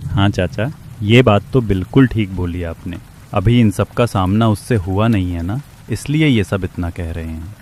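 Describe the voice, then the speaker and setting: A man voicing a knowing village tea seller who chides ominously in an animated horror story. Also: fairly low pitch, moderate pace, slight background noise, close to an everyday voice.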